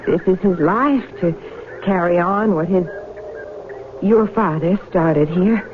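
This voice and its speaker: An actress playing a firm, loyal young farm wife with an American accent, earnest and guarded, in a 1970s radio drama. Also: female voice, lowish voice, slow pace. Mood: earnest, polite. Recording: tinny, noisy 1970s radio broadcast.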